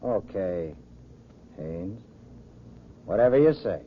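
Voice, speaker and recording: A man's voice: deep voice, big pitch swings, slow pace, slight background noise, heavily acted. An actor playing a skeptical, streetwise underworld henchman in an old radio crime drama, blunt and slangy. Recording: muffled old radio recording.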